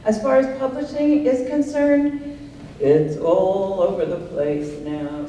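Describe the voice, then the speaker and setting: An older woman, a writer on a panel, answering audience questions in a reflective, unhurried way and laughing often. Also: deep voice, dark tone. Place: art center talk room, audience present. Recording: echoey room, distant mic, faint noise.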